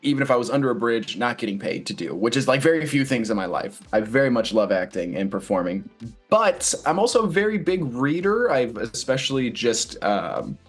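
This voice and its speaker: A man's voice: mid-range voice, sing-song, brisk, husky. Man chatting casually over music. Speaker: stage actor being interviewed. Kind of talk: casual interview chat.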